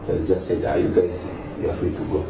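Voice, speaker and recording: Deep-voiced man, halting and calm interviewee, recorded from a distance, noisy and muffled. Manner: hesitant, many fillers, calm. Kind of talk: casual interview answers. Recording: distant echoey lo-fi recording with noise.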